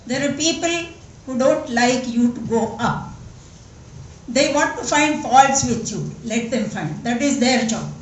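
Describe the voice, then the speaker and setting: An older woman in her seventies with a Marathi-accented English voice that sits a little high. She speaks earnestly and emphatically, repeating words for stress. Her voice rings through a large echoey hall from some distance off the mic. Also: a little slow. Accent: Indian English, Marathi. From Western Maharashtra, India. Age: 70s. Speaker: guest speaker, senior doctor. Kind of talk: conference speech.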